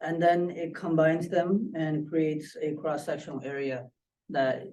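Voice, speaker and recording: Higher-voiced man, soft-toned, off the mic. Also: flat delivery, measured pace. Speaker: student presenter. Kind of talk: informal technical presentation. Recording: distant microphone pickup.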